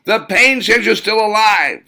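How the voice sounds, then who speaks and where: A man voicing a high-pitched, relentless male martial arts trainer who shouts barked commands in an audiobook. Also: expressive, measured pace, vocal fry, exaggerated voice. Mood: fierce, driving.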